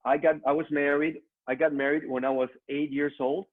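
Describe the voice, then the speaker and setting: A man's voice: on the high side, little pitch movement, fairly quick. A middle-aged man with a Mexican accent giving an upbeat motivational business presentation to an online audience, addressing them directly. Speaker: online training speaker. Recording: narrow phone-like online call audio.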